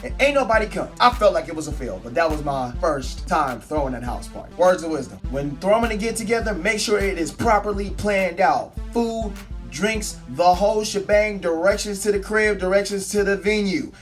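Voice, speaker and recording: Hoarse, higher-pitched Bay Area man. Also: moderate pace, faint music behind. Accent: California Bay Area. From San Francisco Bay Area, United States. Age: 30s. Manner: slangy, profane, animated quoting of dialogue. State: hoarse, voice partly lost. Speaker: storytelling show host. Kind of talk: casual personal storytelling. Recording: instrumental beat behind the voice. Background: instrumental hip-hop beats.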